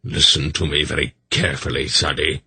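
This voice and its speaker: A man voicing a testy, stern old sorcerer in an audiobook, speaking with quiet menace. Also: extremely low pitch, level tone, a little fast, gravelly, husky, dry recording, full character voice. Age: older.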